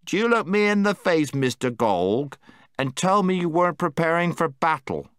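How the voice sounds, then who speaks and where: A man's voice: on the high side, animated, normal speed, fairly bright tone, smooth, no room sound, studio quality, pitched above the performer's own voice. A man voicing a gloomy, cautious male marsh creature who grumbles warily. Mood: suspicious. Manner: wary, grumbling.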